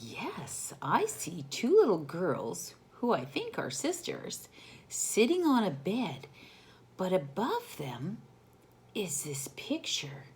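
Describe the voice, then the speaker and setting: A woman reads a storybook to young children at a leisurely pace. Her intonation swings widely, and she is expressive and teacherly, turning to the listeners with questions as she goes. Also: on the low side, slow pace. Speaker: storyteller reading a picture book to children.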